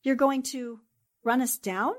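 A woman acting a plucky, quick-witted newspaperwoman in a 1940s-style radio drama, earnest and emphatic. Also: sits high. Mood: defiant, tense.

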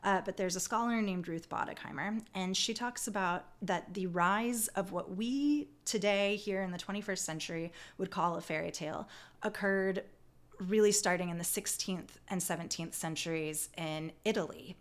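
A woman's voice: fairly low pitch, normal speed. A woman speaking as an academic interview guest, explaining her field in an articulate, conversational way.

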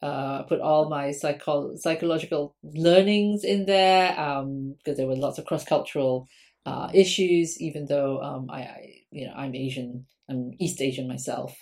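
A woman from Singapore, a guest academic, talks through her answers in a relaxed, conversational podcast interview. Her voice sits low, and she fills pauses with ums as she thinks aloud. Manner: frequent ums, thoughtful and conversational.

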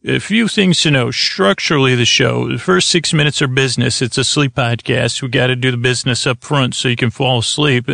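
A man hosting a bedtime podcast in a rambling, improvised monologue, halting and wandering off on tangents, with a gravelly voice. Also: medium pitch, bright, forceful tone, no room sound, clean studio sound. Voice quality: gravelly, creaky. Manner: meandering, halting, digressive, self-interrupting. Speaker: podcast host. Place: close, dry studio.

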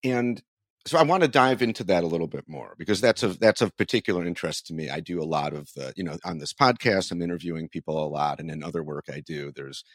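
A man's voice: low-pitched, fast talker, dry recording, clean studio sound. A man hosting a podcast, interviewing in a casual, exploratory way and rephrasing his questions as he goes.

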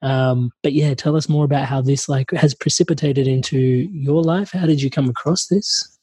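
A man hosting a podcast interview, excitable and loose, chatting with lots of fillers. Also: mid-range voice, moderate pace, breathy edge, no room sound. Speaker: podcast host.

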